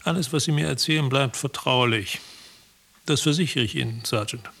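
A military psychiatrist for a radio play, with a strong gravelly creak in the voice. The major is cool and condescending, questioning in a formal, clipped way. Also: male voice, medium pitch, moderate pace, fairly bright tone. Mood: probing, formal. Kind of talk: German radio drama acting.

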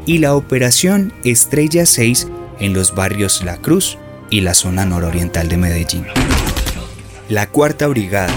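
A man delivering formal, emphatic scripted narration as the documentary narrator of a radio drama. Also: medium pitch. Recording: music behind the voice.

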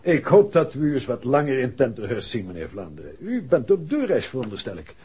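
An actor playing an affable, obliging bank manager in a 1960s radio drama, with a Dutch accent. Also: male voice, mid-range voice, wildly swooping pitch, brisk, warm tone, breathy edge, heavily acted. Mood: businesslike. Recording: muffled 1960s radio recording, faint noise.

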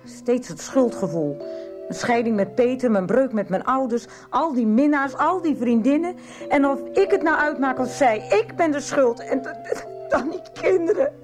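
A woman's voice: sits high, expressive, normal speed, over music. An actress playing a distraught, self-blaming mother who drinks, in a 1990s radio soap. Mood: anguished. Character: distraught, guilt-ridden, foul-mouthed mother who drinks.

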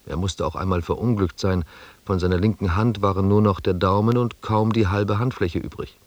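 A man plays a reflective expedition scribe in a radio drama, sober and quietly melancholy. His low voice sounds dry and close, with measured, restrained delivery. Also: steady tone, no room sound, close to an everyday voice. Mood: sober narrating. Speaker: narrator. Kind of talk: scripted first-person narration in a German radio drama.

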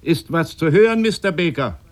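An actor in a German radio drama playing a blunt, practical sailor. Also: male voice, on the high side, animated, moderate pace, natural voice. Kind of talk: German radio drama acting with narrated passages.

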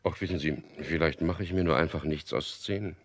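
An actor in a German radio drama playing a suave, ironic, detached charmer who also narrates in the first person. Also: male voice, a little fast. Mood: dryly evasive. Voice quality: gravelly, very deep. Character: sardonic charming ad copywriter and womanizer, cool, detached narrator.

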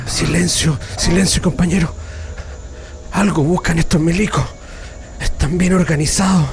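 A man's voice: on the high side, fairly even intonation, normal speed, lightly acted. A man playing a beaten, blindfolded Chilean prisoner in a radio drama, groaning and cautioning his companions, wary and bitter.

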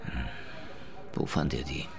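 An actor playing a tough, impatient police detective in a radio crime drama, speaking in a blunt, gruff and demanding way. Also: male voice, a little slow, faint music behind, close to an everyday voice. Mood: impatient interrogation. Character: tough, impatient male Harlem police detective interrogating suspects.

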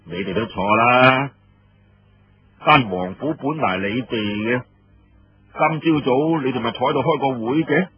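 A man voicing an imperious, sly senior army commander in a Cantonese audiobook story, questioning his listeners in a commanding, drawn-out way. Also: mid-range voice, close to an everyday voice. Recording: muffled lo-fi roomy radio-like recording.

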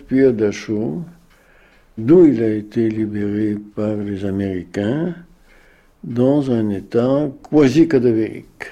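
A man in his eighties recalling wartime memories in a grave, deliberate way, sometimes searching for words. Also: on the low side, vocal fry, warm tone, muffled recording. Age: 80s. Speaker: interviewee, witness. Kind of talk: slow, measured recollection.

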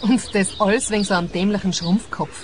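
A woman acting a cynical, sharp-tongued grown daughter in a radio play. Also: medium pitch, steady tone, fast talker, noisy background, natural voice. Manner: sardonic, impatient.

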